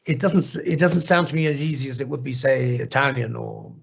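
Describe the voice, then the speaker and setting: A man's voice: medium pitch, a little fast, vocal fry, breathy edge. A man hosting an interview, asking long questions full of fillers and restarts. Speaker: interview host. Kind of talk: casual interview conversation. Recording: dull lo-fi audio.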